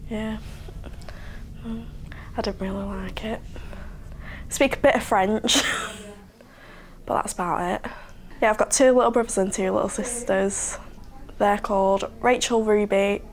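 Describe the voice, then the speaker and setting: Giggly young woman chatting. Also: medium pitch. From Leyland, Lancashire, England. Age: young adult. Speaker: interviewee. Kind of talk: casual interview chat. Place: living room at home, indoors.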